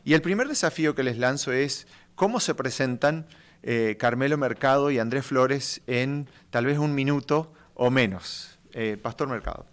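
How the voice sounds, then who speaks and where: A man's voice: mid-range voice, normal speed, clean tone. Uruguayan-born male podcast host. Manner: friendly, questioning, with fillers. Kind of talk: interview hosting.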